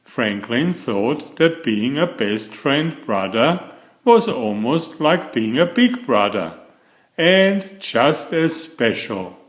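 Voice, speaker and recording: A man reads a children's picture book aloud in an expressive storytelling manner. His pitch moves around a lot and his pace is unhurried. The room adds an audible echo. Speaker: storybook reader and children's author.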